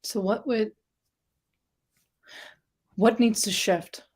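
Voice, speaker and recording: A woman's voice: mid-range voice, fairly even intonation, extremely slow, darkish tone, breathy edge. A woman from Pakistan hosting an interview, asking thoughtful questions and checking in with "right?". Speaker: interview host. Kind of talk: casual interview talk.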